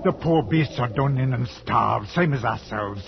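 An actor playing a loyal, wary soldier sidekick with plain, rustic, deferential speech, in 1940s radio drama style. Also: male voice, medium pitch, lively intonation, measured pace, vocal fry. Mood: weary, alarmed. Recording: muffled, faintly noisy 1940s radio recording.

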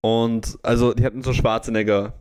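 A man's voice: medium pitch, level tone, moderate pace, a little mellow, clear, resonant tone. A young man with an Austrian German accent co-hosting a podcast, chatting animatedly with many fillers and stumbles. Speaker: podcast co-host. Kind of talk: casual chat.

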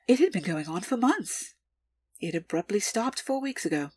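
A woman playing a calculating, deferential underworld information broker in an audio drama, with formal, measured, precise delivery. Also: medium pitch, big pitch swings, a little mellow, breathy edge, no room sound. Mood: businesslike.

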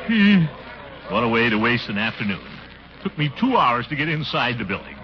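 A man's voice: mid-range voice, sing-song, moderate pace, lightly acted. A man playing a pompous, blustering middle-aged uncle and city official in a 1940s radio comedy. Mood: grumbling. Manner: pompous, blustering, exclamatory, muttering to himself. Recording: muffled, noisy 1940s radio recording.

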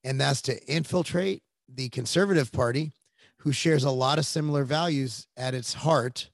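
A man's voice: medium pitch, moderate pace, gravelly, dry recording. A blunt, emphatic middle-aged man talks politics on a podcast and swears casually. His voice sits in the middle range and sounds dry and close to the mic. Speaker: podcast host.

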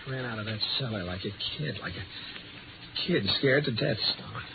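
An actor playing an anxious, harried man in a 1950s radio drama, speaking in a halting, strained, pleading way. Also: mid-range voice, vocal fry, noisy background. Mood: wounded, resigned. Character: anxious, harried man haunted by fear of darkness. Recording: tinny old radio broadcast with music.

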